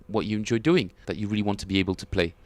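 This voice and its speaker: A man with a French accent, chatting casually and self-mockingly with viewers as he streams his bass practice, often chuckling. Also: very fast. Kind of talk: casual livestream chat.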